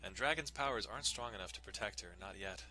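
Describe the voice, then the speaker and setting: A man reads a visual novel aloud as a dramatic narrator. His voice sits fairly low and is very bright and forceful. Faint music runs underneath. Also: animated, fast talker. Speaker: dramatic reader.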